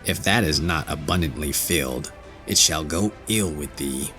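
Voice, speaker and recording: A malicious stepmother from a storybook, acted out by a man, speaks low and menacing. The scolding is old-fashioned in wording and full of threat. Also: normal speed, bright, forceful tone, faint music behind. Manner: menacing, archaic phrasing.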